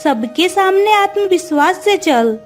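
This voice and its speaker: A woman voicing a kind, encouraging, motherly mistress in a Hindi moral-story cartoon, with emphatic, deliberate delivery. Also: very high-pitched, lively intonation, faint music behind.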